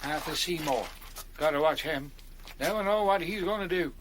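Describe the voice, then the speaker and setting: An actor playing a sly, cagey old gravedigger in a radio drama. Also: male voice, fairly high pitch, moderate pace, noisy background, close to an everyday voice. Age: older. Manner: cagey, drawling village speech.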